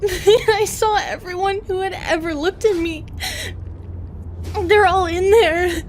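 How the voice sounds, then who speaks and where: A woman playing an anguished, remorseful female medusa in an intimate audio roleplay, tender and fragile, with a halting, emotional, pleading delivery. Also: very high voice, steady tone, slight background noise. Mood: distraught, panicked.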